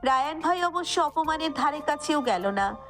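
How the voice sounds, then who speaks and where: A high-pitched female voice reads a scripted romantic tale as narrator. Faint music runs underneath the narration. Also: lively intonation, moderate pace, a bit bright.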